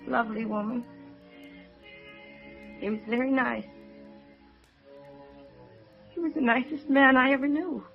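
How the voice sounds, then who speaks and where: High, fragile, distraught young woman. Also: creaky. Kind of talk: 1950s radio drama acting. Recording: dull vintage radio recording with music.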